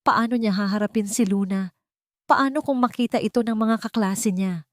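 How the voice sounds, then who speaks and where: A woman's voice: medium pitch, normal speed, no room sound, clean studio sound. A woman reading a scripted dramatic love story as narrator.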